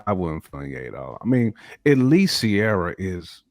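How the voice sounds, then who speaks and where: A man's voice: deep voice, sing-song, moderate pace, dry recording, clean studio sound. A man on a podcast panel giving casual opinions in slangy, rambling speech, repeating himself for emphasis.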